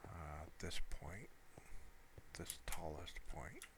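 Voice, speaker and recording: A man giving casual, rambling gameplay commentary in a low-key, halting way, as if thinking aloud. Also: expressive, noisy background. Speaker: gamer narrating own gameplay video.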